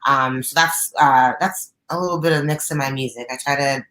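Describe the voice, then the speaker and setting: A young woman with a low, raspy alto voice, a guest singer talking openly on a video call from her living room, with many fillers and restarts. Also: fairly even intonation, normal speed, sharp tone, echoey room. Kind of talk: casual interview chat. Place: bright living room at home.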